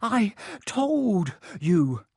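A man's voice: fairly high pitch, lively intonation, very slow, a bit bright, breathy edge, no room sound, studio quality, heavily acted. A man voicing a bossy, exasperated young schoolgirl for an audiobook, scolding and insistent. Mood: breathless, reproachful. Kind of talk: audiobook character voice.

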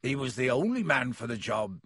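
A man voicing a big, gruff, kindly half-giant gamekeeper who growls in a rustic dialect. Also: medium pitch, sing-song, moderate pace, a bit bright, dry recording, studio quality.

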